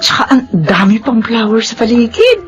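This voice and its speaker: Casting: coy, soft-hearted widowed mother, lively melodic delivery, radio drama. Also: a little high, moderate pace, faint music behind. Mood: surprised, flustered. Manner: expressive, coy, sighing. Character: sentimental widowed mother, coy with old sweetheart.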